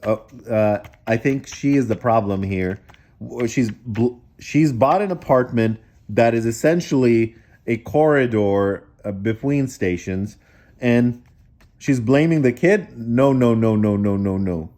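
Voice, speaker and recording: A woman's voice: rumbling low, a little slow, dark tone. A woman reading a children's story aloud expressively, breaking off for incredulous casual asides. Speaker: storybook reader.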